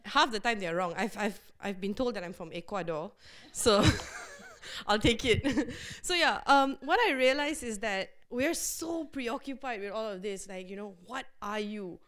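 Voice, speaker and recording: A woman's voice: mid-range voice, measured pace, hardly any pauses, a bit bright. A woman from Malaysia, speaking frankly and with animation on a discussion panel, laughing often and restarting her sentences.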